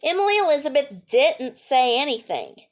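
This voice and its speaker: A woman's voice: high-pitched, very animated, moderate pace. A woman reading a picture book aloud to young children, adding chatty asides, simple explanations and questions for the listeners. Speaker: storytime reader and teacher.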